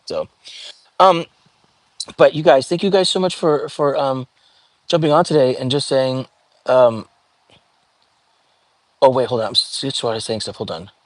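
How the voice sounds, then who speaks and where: Guy doing a casual livestream, calm voice, lots of ums, dry close sound. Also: mid-range voice, moderate pace, long pauses, no room sound, low-quality recording. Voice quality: calming voice. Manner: chatty, reads viewer comments aloud, many fillers and restarts. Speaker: livestream host. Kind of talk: casual live-stream chat.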